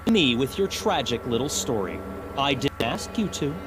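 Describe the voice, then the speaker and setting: A man's voice: mid-range voice, big pitch swings, normal speed, background noise, lightly acted. An actor playing a cold, haughty young male student in an English game dub, condescending and accusatory.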